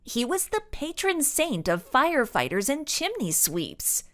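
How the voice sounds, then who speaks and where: A woman's voice: sits high, wildly swooping pitch, unhurried, a bit bright, pitched above the performer's own voice, heavily acted. A woman voicing an earnest, insistent young girl who speaks with careful, questioning delivery. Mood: insistent, incredulous.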